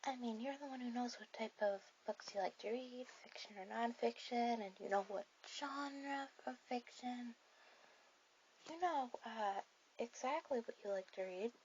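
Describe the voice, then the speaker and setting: A shy, bookish teenage anime girl, played by a woman, speaks in a high, gentle, hesitant voice as if alone with her date. It is a sweet, soft ASMR roleplay, recorded somewhat dull with a faint bed of hiss. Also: measured pace. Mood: gently chatty. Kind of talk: ASMR romantic roleplay addressed to the listener. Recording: dull lo-fi audio with clear hiss.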